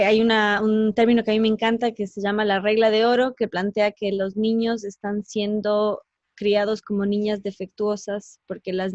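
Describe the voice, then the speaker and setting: A woman's voice: medium pitch, darkish tone, no echo, lo-fi sound. A woman from Ecuador who was raised in the United States, explaining things as an interview guest. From born in Ecuador, raised in the United States. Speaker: interview guest, teacher and columnist.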